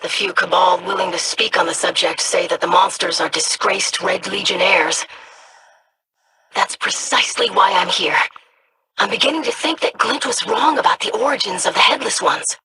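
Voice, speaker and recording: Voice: mid-range voice, normal speed, sharp tone, breathy edge, heavily acted. An earnest scholarly scribe. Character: earnest, studious scribe, curious and careful. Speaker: scribe investigating monsters. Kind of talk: video game voice lines.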